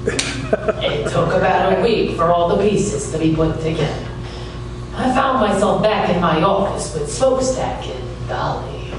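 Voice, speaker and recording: A man playing a world-weary, wisecracking private detective in a deadpan 1940s radio noir style. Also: high-pitched, unhurried. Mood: wry, deadpan. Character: wry, world-weary male private detective narrating his case. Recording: roomy, distant stage recording with music.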